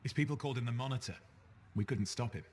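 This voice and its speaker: An actor playing a breezy, joking sidekick in a sci-fi game, with a glib and casual way of talking. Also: male voice, medium pitch, quick pace, darkish tone, slight background noise, natural voice. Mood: breezy, teasing. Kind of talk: conversational video game voice acting.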